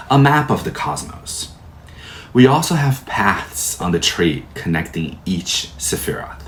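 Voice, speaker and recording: Deep, unhurried male tarot teacher, Portuguese first language, faint background noise. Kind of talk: calm explanatory lecture.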